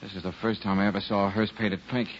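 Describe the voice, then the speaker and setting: Actor as a low-voiced, wry private investigator. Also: male voice, flat delivery, fast talker, close to an everyday voice. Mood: wry, easygoing. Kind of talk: 1940s radio detective drama acting. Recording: dull, very noisy old radio recording.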